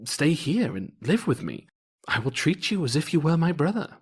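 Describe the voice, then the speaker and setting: A man's voice: a little high, very animated, moderate pace, slightly rough, voice pushed up, heavily acted. Man playing a grand, formal Tsar. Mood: gracious. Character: imperious Tsar, grand male ruler.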